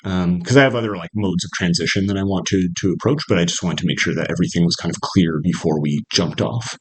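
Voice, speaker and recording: A man teaching a small literature seminar, talking hesitantly with lots of fillers and checking in with "right?", his voice a little rough from coming down with something. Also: low voice, fast. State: slightly unwell, voice cracking. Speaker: literature instructor. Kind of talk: casual seminar lecture. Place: small classroom.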